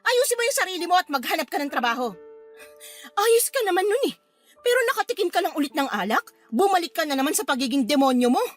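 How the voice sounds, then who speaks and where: A woman's voice: extremely high pitch, very animated, fast, faint music behind. An actress playing a feisty, fed-up Filipino mother in a radio drama, scolding her drunkard partner in a combative, emphatic way. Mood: stern, defiant.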